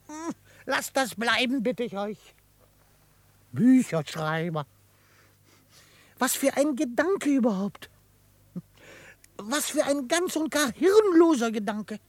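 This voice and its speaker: A man playing a quirky old frontier trapper in a radio drama, excitable and grumbling, gruff but fond, with a crackly voice. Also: extremely high pitch, no echo, heavily acted. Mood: incredulous, grumbling. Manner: excitable, grumbling, chuckling, theatrically indignant. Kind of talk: German radio drama acting.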